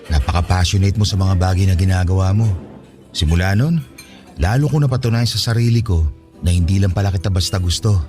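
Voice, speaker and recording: A smitten young man played by a radio actor with a low, velvety voice. He courts patiently, sounding tender and reassuring, as quiet music plays beneath him. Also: brisk, lightly acted. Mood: tender, confessing. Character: devoted, patient young suitor, smitten office colleague. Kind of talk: Tagalog radio drama dialogue. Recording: studio drama with music underneath. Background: background music.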